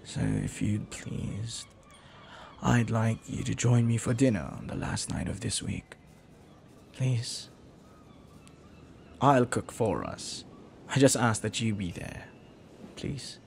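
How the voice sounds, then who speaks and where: A man playing a flirty, lovelorn pirate captain in a romantic audio roleplay, speaking softly and earnestly with hesitant, lingering pauses. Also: on the low side, big pitch swings, creaky, slight background noise, exaggerated voice. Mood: tender, pleading.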